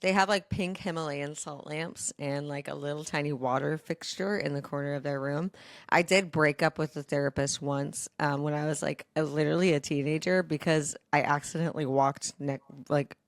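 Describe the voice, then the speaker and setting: A woman's voice: low-pitched, fairly even intonation, normal speed, dry recording. A woman co-hosting a casual podcast, chatting in a wry, joking way. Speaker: podcast co-host.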